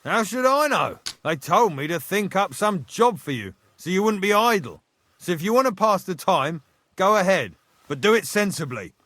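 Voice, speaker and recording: Brusque game blacksmith, high animated voice, dry. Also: male voice, moderate pace, no room sound, full character voice. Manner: gruff, brusque. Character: proud, gruff male village blacksmith. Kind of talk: video game voice acting.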